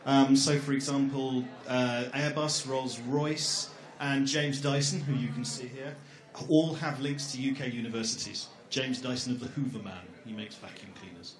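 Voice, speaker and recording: A man's voice: medium pitch, fairly even intonation, moderate pace, hardly any pauses. A middle-aged man co-presenting at an education fair, rambling and joking with lots of ums. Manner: jokey, rambling, with many fillers. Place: large echoey exhibition hall. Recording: echoey hall.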